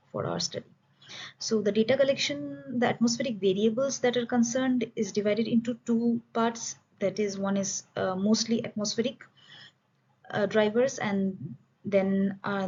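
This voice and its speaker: A dull, lo-fi recording carries a woman's husky voice. She presents research in a formal, explanatory way, phrasing it haltingly. Her pitch sits in the middle range for a woman. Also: a little mellow. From India. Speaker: student researcher presenting a study. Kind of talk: academic conference presentation.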